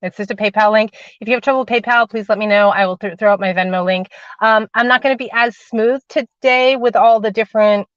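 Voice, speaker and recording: A woman's voice: mid-range voice, steady tone, a little fast, no room sound. A woman hosting a spiritual livestream from inside a parked car on her phone, chatting casually, calling viewers darling and sweetheart, then leading a guided meditation while she gets over a cold with a cough drop in her mouth. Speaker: spiritual channeler and host. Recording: dull lo-fi phone audio.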